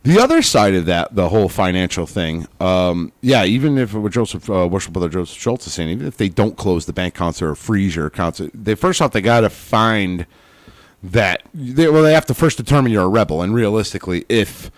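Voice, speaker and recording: Blunt, low-voiced man co-hosting a podcast. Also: lively intonation, a little fast, dry recording. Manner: blunt, emphatic, swears freely. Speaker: podcast co-host. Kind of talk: casual chat.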